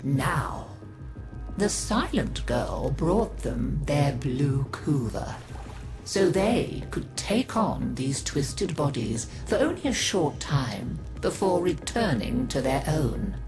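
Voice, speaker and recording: An old grandmother spinning a macabre game tale in a low voice that swoops widely for drama. She savours every phrase and draws it out, with music playing behind her. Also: big pitch swings, full character voice. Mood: macabre relish. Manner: savouring, dramatic, drawn-out delivery. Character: theatrical old grandmother telling gruesome tales. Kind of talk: theatrical scripted storytelling in a video game.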